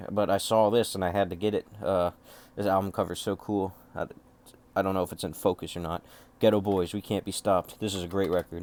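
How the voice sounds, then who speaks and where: A man with a low voice talks in a casual, unscripted way, like a collector showing items one by one. He rambles offhandedly and drops swear words freely. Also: darkish tone. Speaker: music collector showing off cassette tapes.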